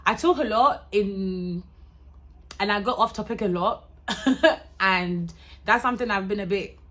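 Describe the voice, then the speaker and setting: A woman's voice: medium pitch, measured pace, a bit bright. A woman in her twenties talking casually to camera, rambling, going off topic, swearing and laughing often. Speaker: vlogger.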